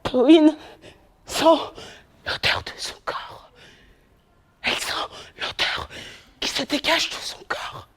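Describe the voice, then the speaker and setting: A woman performs a poetic monologue on a theatre stage, her voice sitting high. She speaks slowly, in an incantatory way. She moves from hushed whispers to loud declamation. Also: fairly even intonation.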